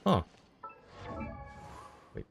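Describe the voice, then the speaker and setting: A man's voice: extremely slow, a bit bright, soft background music. A man chatting casually as a game streamer, thinking aloud in an informal way. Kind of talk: casual livestream chat and game commentary.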